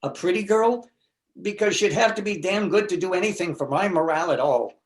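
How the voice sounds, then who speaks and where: A man playing a neurotic, self-pitying jilted husband in a stage comedy reading, meek and halting. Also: sits high. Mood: reluctant, defensive. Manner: self-deprecating, halting, stammering. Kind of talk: stage comedy readers theater.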